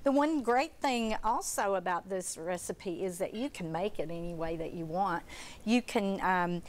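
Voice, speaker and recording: A woman's voice: medium pitch, unhurried. A woman in her fifties chatting through a cooking demonstration as a guest cook, on a lapel mic in a studio kitchen. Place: TV studio kitchen set, indoors. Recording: clearly noisy studio background.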